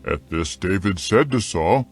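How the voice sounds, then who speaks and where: A man reads scripted scripture narration in a measured storytelling manner. His voice sits a little low and is very expressive in pitch, with faint music behind him.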